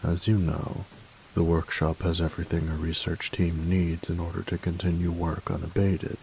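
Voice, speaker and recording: A man playing a grumpy, workaholic artificer in an audio roleplay, tired and reserved, speaking formally and precisely with hesitant pauses in a gravelly voice. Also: little pitch movement, darkish tone, a little hiss or hum, low-quality recording. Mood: stiff, formal. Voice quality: gravelly, very low voice. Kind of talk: audio roleplay addressed to the listener.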